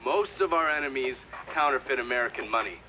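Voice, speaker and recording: An actor playing a shrewd, sardonic intelligence operative in a video game, dry and matter-of-fact. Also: male voice, medium pitch, lively intonation, fairly quick, pushed and bright, muffled recording, a little hiss or hum. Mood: matter-of-fact recounting. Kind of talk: cinematic game dialogue, part recounted testimony.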